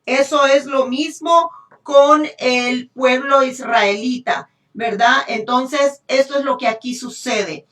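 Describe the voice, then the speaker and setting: Female preacher, Honduran accent, emphatic and unhurried delivery, heard from a distance in an echoey room. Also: high-pitched, fairly bright tone. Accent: Honduran Spanish. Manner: emphatic, repeats phrases, checks in with rhetorical questions. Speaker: preacher teaching a congregation. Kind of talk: sermon, Bible teaching.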